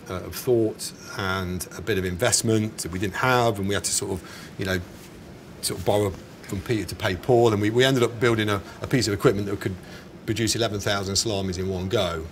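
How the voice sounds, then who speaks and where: A man in his forties with an English accent, chatting casually and correcting himself as he answers as an interviewed business founder. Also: fairly low pitch. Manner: hesitant, chatty, self-correcting. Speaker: snack company founder being interviewed. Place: indoors in a bar. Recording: clear background ambience noise.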